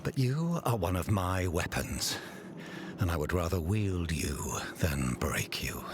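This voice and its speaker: An actor playing an imperious, cruel ruler who commands holy inquisitors in a fantasy game, with a grandiose and menacing manner. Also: male voice, sits low, sing-song, measured pace, noisy background. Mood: quiet menace. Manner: grandiose, theatrical in proclamation, menacing in private. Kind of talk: dramatic fantasy game acting.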